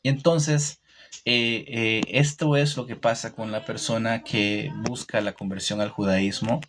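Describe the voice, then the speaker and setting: A man with a Costa Rican Spanish accent giving an informal, didactic religious talk, opinionated, pausing and repeating phrases as he goes. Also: on the low side, steady tone, a bit bright, a little hiss or hum. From Costa Rica. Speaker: religious teacher hosting a livestream. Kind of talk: informal religious talk answering live comments.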